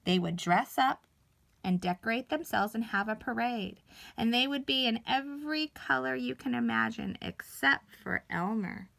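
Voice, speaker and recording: A woman reading a children's story aloud expressively, with dramatic pauses and emphasis. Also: fairly high pitch, gravelly, fairly bright tone.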